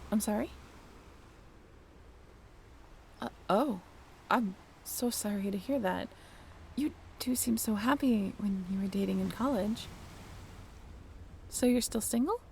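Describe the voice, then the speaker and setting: A woman's voice: mid-range voice, a little hiss or hum, close to an everyday voice. A woman playing a friendly, flustered young former college roommate in a romantic audio roleplay, talking in a bashful, hesitant, giggly and apologetic way. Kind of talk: romantic audio roleplay, naturalistic one-sided conversation.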